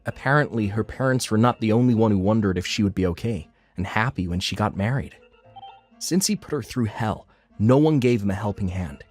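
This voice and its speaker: A man's voice: on the low side, expressive, a little fast, a little mellow. A man acting as an earnest diner owner, narrating his life story in a scripted manga dub. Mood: matter-of-fact. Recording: background music under the voice.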